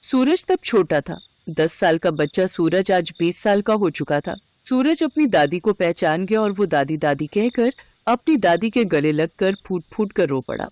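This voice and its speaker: A woman's voice: on the low side, animated, unhurried, a little mellow, dry recording. A woman storyteller reading scripted narration for a children's moral tale.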